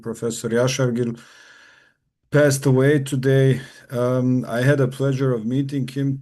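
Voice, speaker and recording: A man delivers a neurosurgery lecture over a video call. His mellow, dark-toned voice sits at an ordinary pitch. He speaks in a measured, formal way, often pausing with "uh". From Bosnia. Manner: measured, formal, with frequent "uh" pauses. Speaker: neurosurgeon giving a guest lecture. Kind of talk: academic presentation.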